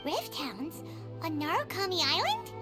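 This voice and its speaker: A tiny childlike fairy sidekick from an anime game. The voice is squeaky and extremely high, swooping up and down with eager, feisty energy. Prominent music plays underneath. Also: female voice, wildly swooping pitch, a little slow, heavily acted. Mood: surprised, curious. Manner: exclamatory, eager, chirpy. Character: tiny excitable childlike fairy companion girl, feisty and loyal. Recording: loud music behind.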